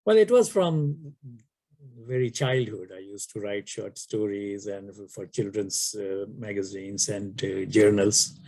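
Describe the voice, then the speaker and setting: An older man from Pakistan, whose first language is Urdu, answering interview questions slowly with many pauses and fillers. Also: fairly low pitch, mellow. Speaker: author guest.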